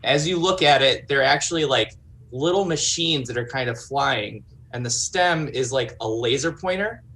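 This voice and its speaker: A man's voice: mid-range voice, expressive, normal speed, bright, forceful tone. A man playing a tabletop game, casually describing actions and piecing them together as he goes.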